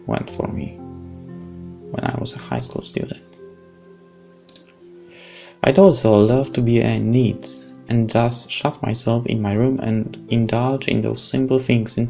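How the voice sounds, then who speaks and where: A man's voice: medium pitch, steady tone, slow pace, creaky, a little mellow, faint music behind. A young man with a Polish accent, reading a visual novel aloud and chatting, stumbling over words. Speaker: let's play host.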